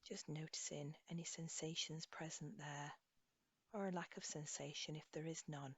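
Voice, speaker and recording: A woman leading a guided body scan meditation as an instructor, speaking softly and soothingly in an unhurried way, with phrases trailing off breathily. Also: low voice, steady tone, slightly rough. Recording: slightly dull, echoey room recording.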